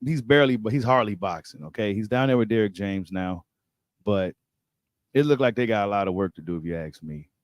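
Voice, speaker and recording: A man's voice: on the low side, animated, brisk, dry recording, studio quality. An American man hosting a boxing talk livestream, chatting casually in slangy, informal speech and repeating phrases for emphasis. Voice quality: voice getting slightly worn. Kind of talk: casual livestream chat and commentary.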